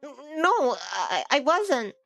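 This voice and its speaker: A woman's voice: sits high, big pitch swings, takes it slowly, fairly bright tone, soft background music, pitched above the performer's own voice, full character voice. A woman voicing a flustered, defensive teenage schoolboy footballer in a visual novel, stammering as he protests. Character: flustered, defensive male student footballer, teenage, easily embarrassed.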